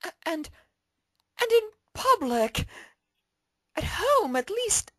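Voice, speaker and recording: A woman's voice: very high-pitched, sing-song, slow pace, sharp tone, dry recording, studio quality, voice pushed up, exaggerated voice. A woman voicing a refined, prim female pony cellist with polite, formal phrasing, in a fan fiction story reading. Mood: flustered.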